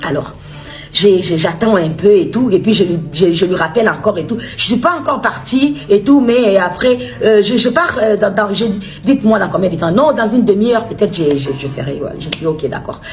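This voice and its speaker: A woman from Kinshasa making an anxious appeal as a mother, halting and full of restarts. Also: medium pitch, soft background music. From Congo (Kinshasa). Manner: halting, distressed, many restarts. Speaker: mother of a missing student. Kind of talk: personal appeal video.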